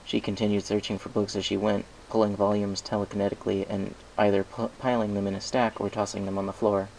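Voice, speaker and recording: Man, scripted story narration, gravelly creak, amateur reader, slightly noisy recording. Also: on the low side, little pitch movement, fast, a little mellow. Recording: noticeable background hiss and noise.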